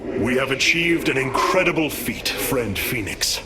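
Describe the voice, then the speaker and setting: A man's voice: mid-range voice, expressive, measured pace, over loud music, natural voice. A man playing a noble alien military leader in an epic video game cutscene, formal and oratorical. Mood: warm pride. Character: noble, commanding male alien war leader.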